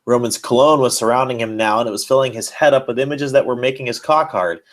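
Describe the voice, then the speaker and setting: A man's voice: mid-range voice, quick pace. A man hosting a podcast, giving a mock-serious dramatic reading of fan fiction. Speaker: podcast host.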